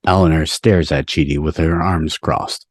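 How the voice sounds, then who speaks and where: Deep-voiced man reading stage directions. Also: fairly even intonation, normal speed, no room sound. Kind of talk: reading script directions aloud at a table read. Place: home, on a video call.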